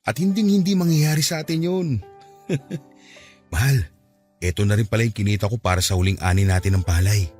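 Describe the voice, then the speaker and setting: A man's voice: fairly low pitch, normal speed, long pauses, lightly acted. A man playing a middle-aged farmer, a strict but doting husband and father, in a radio drama. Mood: sweet, playful. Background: soft music bed.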